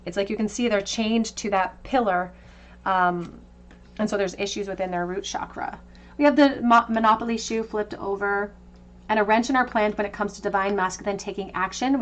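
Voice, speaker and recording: Woman doing a tarot reading. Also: mid-range voice. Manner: intuitive, conversational, gently reassuring. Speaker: tarot reader. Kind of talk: tarot card reading to camera.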